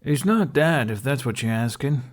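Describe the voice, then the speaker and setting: A man's voice: medium pitch, lively intonation, moderate pace, voice pushed up, natural voice. A male narrator acts out a burly young Viking man in an audiobook tale. He is boisterous and taunting, mocking his prisoner with relish. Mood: casual taunting.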